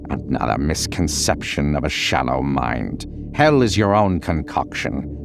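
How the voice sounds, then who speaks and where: Guy doing super deep gravelly count, cryptic n disdainful, measured, condescending, horror audio story. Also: very animated, music in the background, heavily acted. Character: cryptic, disdainful male Count, an ancient guide delivering hard truths. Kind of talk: dramatic audio fiction.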